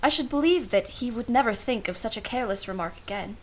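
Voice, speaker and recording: Woman acting wry aunt, radio drama, slightly high voice, arch, formal, dry delivery. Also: expressive, fast talker, slight background noise, lightly acted. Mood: musing, exasperated. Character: wry, world-weary aunt minding her young niece. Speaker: actress.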